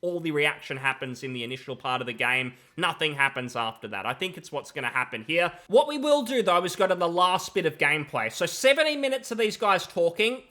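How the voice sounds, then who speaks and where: A man in his thirties rants about a game with sarcasm and emphasis. His voice is bright and a little high. He talks into a headset from a room at home. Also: sing-song, fairly quick, few pauses. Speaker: gaming commentator. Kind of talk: reaction commentary.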